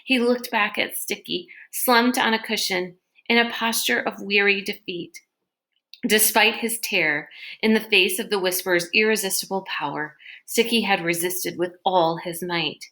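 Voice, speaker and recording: A woman reading a children's novel aloud in an expressive storytelling style. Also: medium pitch, normal speed. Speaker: read-aloud reader. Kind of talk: expressive children's book narration.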